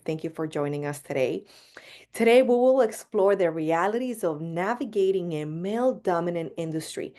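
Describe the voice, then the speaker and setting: A woman's voice: on the low side, a little mellow. Upbeat woman hosting a video webinar. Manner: upbeat, repeats words for emphasis. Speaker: webinar host. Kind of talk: enthusiastic webinar welcome.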